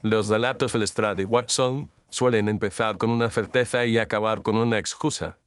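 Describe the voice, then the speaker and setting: Guy doing a cool sharp detective, measured and analytical, lowish voice, dry close sound. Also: steady tone, clear, resonant tone, dry recording, lightly acted. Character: calm, incisive male detective, precise reasoner. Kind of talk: audio drama acting.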